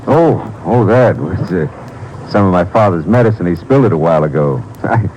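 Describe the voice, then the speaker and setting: Big shifty suspect, lowish voice, feigned ease, vintage radio drama, noisy recording. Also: male voice, animated, brisk, a little mellow. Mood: evasive. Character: big, evasive, falsely casual male suspect. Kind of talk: 1940s radio acting.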